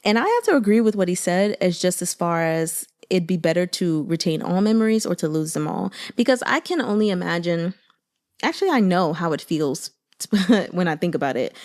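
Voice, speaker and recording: A woman's voice: fairly low pitch, a little mellow. A woman chatting informally and expressively about a book, in a casual commentary style full of asides.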